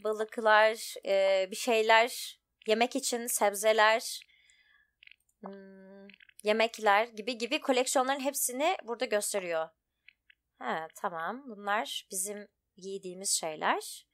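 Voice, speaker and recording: A woman's voice: medium pitch, measured pace, a bit bright. A woman giving casual, informal let's play commentary, thinking aloud as she goes. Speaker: gaming streamer.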